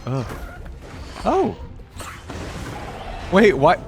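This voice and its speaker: A man's voice: high-pitched, wildly swooping pitch, drawn-out words, over music. A man hosting a gaming reaction video, talking casually with many "like"s and "um"s and reading ability text aloud. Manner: curious, chatty, many likes and ums, laughs often. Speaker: gaming reaction channel host.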